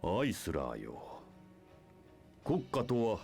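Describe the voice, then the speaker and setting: An imperious, scheming leader of a church, deep and sweeping in pitch. He speaks in grandiose, archaic formal phrases, as in a dramatic video game scene, with music underneath. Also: wildly swooping pitch, normal speed, gravelly, a little mellow, heavily acted. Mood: grandiose, scornful. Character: imperious, scheming male church leader preaching his doctrine. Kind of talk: Japanese video game voice acting.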